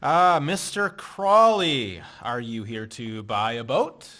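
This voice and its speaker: A man playing a dry-witted drifter in a radio play, with deadpan delivery. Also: mid-range voice, takes it slowly.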